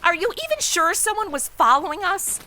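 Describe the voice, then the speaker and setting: A woman's voice: very high-pitched, big pitch swings, brisk, very noisy. A woman playing a sarcastic, exasperated young superhero sidekick in a comedic radio play, with snarky, slangy, exclamatory delivery. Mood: indignant. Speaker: regular lead of comedy podcast.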